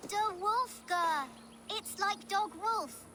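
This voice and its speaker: A woman's voice: very high-pitched, slow, pushed and bright, slight background noise, heavily acted. A woman playing a bold, bossy, imaginative little child in a video game, insistent and matter-of-fact. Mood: playful, opinionated. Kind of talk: video game cutscene acting.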